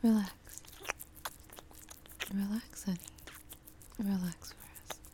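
Breathy, slow whispering woman, adult ASMR. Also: mid-range voice, steady tone, slight background noise. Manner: mostly breathy whisper, slow, drawn-out, soothing with soft hums. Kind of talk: sexually explicit whispered roleplay audio, ASMR.

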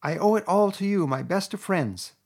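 Guy doing a giddy posh toad, way up high and loud, gushing and swooning, kinda husky. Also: expressive, moderate pace, full character voice. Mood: dreamy. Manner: rapturous, gushing, swooping high. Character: boastful dreamy male toad, gentleman.

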